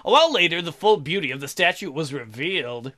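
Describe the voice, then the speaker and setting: A man's voice: a little high, expressive, fast talker, pushed and bright. A man hosting a let's play, reading a visual novel aloud and breaking in with irreverent, playful, mocking asides.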